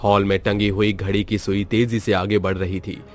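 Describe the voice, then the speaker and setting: A man narrating a cartoon story in an even, scripted storytelling way. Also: lowish voice, normal speed. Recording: background music.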